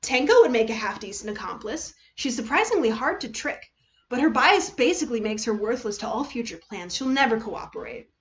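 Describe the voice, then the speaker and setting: A woman voices a scheming teenage boy in a dramatic story. He sounds cynical and calculating, as if muttering plans to himself. The pitch is on the high side and the recording is a little dull. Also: big pitch swings, brisk. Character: scheming, cynical teenage boy writing unhinged secret notes.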